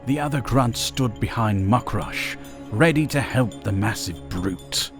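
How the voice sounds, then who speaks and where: A man's voice: fairly low pitch, expressive, measured pace, music in the background. A man narrating an audio drama in a scripted, dramatic storytelling style.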